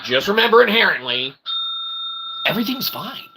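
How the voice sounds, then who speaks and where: A man's voice: sits high, big pitch swings, normal speed, gravelly, very noisy. A man hosting a livestream rant, profane, emphatic and repetitive, with wordy, mock-formal phrasing. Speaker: host of a livestream rant show.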